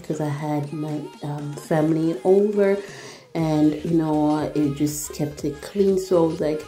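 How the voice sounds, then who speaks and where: A young woman vlogger chatting casually in a voice-over, easygoing and laughing often. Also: sits low. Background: soft background music.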